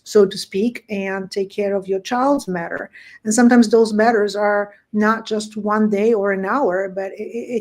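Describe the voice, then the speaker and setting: A woman who is a native Polish speaker with a Polish accent, talking conversationally about her own experiences as a podcast guest. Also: mid-range voice. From Poland. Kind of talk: conversational personal storytelling.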